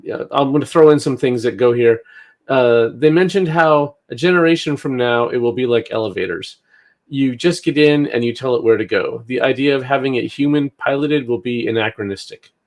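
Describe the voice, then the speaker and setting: Male talk host, mid-range voice, informal news discussion with a hesitant, unscripted feel. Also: mid-range voice.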